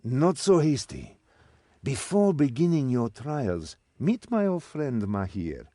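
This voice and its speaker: An actor playing a stern, commanding old mentor in a game cutscene, with theatrical delivery. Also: male voice, mid-range voice, very animated, slow pace, dark tone, no echo, studio quality. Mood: testing, instructive. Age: older. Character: stern old male mentor, servant of a master. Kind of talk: scripted game cutscene acting.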